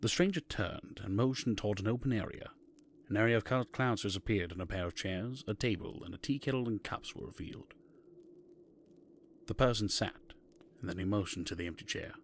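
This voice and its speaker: Male narrator for scripted fantasy, low register, faint noise behind the voice. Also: fairly quick.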